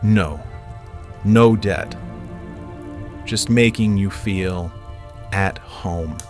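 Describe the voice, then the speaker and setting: Man playing a slow-spoken, calm wanderer. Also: low-pitched, over music. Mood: gentle. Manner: calm, measured. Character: calm, gentle wandering man with philosophical temper.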